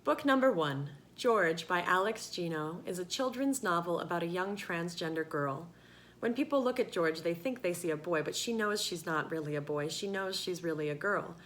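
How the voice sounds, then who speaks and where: A woman presenting a book in clear scripted narration. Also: lowish voice, animated, moderate pace, fairly bright tone, slight background noise.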